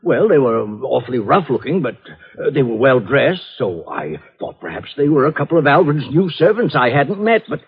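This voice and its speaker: A man's voice: mid-range voice, big pitch swings, moderate pace. An actor in a 1950s radio drama playing an eccentric, fussy older man who stammers and repeats himself in distress. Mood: anxious. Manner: dithering, stammering, repeating words. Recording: muffled, band-limited old radio recording.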